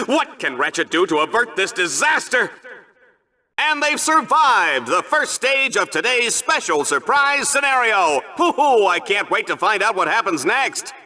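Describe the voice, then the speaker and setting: A man's voice: high voice, very animated, normal speed, bright, forceful tone, exaggerated voice. An actor playing a flamboyant, vain, manic male announcer in a video game, commentating in a hammy, mock-dramatic way. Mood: showy, excited. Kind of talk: over-the-top video game sports commentary.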